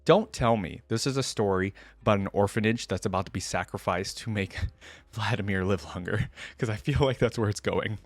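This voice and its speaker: Man, lowish voice, casual reaction host, thinking out loud, reading and commenting, dry close recording. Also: normal speed, no room sound, soft background music. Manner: informal, rambling, thinking out loud. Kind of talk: casual commentary mixed with reading story text aloud.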